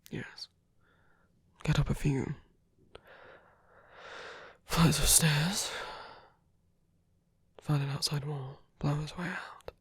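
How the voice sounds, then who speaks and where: A man playing a protective, gently teasing villain in an intimate ASMR roleplay, speaking in a soft, hesitant, breathy half-whisper. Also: on the high side, dry recording, heavily acted. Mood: hushed, resolute. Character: male villain, protective, gently teasing, rescuing a wounded rival.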